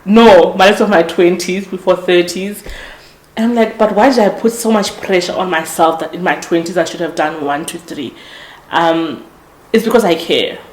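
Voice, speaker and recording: A woman in her late twenties talks through her thoughts in an earnest, reflective solo podcast. Her voice sits a little low for a woman, and she often stops to correct or restart a sentence. The room around her gives a noticeable echo. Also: fairly even intonation, moderate pace, a little mellow. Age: 20s. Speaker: podcast host. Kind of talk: casual motivational monologue.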